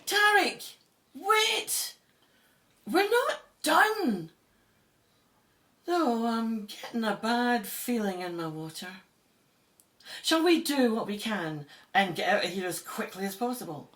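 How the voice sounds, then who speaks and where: A commanding woman Egyptologist played by an actress in an audio drama, by turns brisk and full of wonder. Her pitch moves widely and she takes her time over the words. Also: sing-song, fairly bright tone, full character voice. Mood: exasperated, uneasy.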